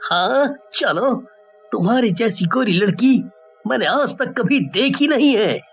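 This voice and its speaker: A woman's voice: on the high side, expressive, measured pace, breathy edge, soft background music, exaggerated voice. A woman voicing a young male college student in a horror story, inquisitive and earnest. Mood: friendly.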